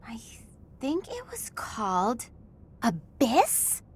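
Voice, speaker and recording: A woman's voice: on the high side, wildly swooping pitch, very slow, creaky, heavily acted. An actress voicing a perky, chatty young female student in a video game, breezy and informal. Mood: curious, chatty.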